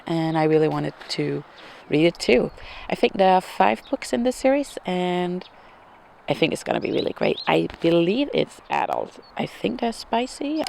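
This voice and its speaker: Gravelly-voiced woman chatting about books. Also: deep voice, a little hiss or hum. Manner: chatty, hesitant with frequent ums and small laughs. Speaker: book vlogger. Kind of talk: casual book chat. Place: outdoors.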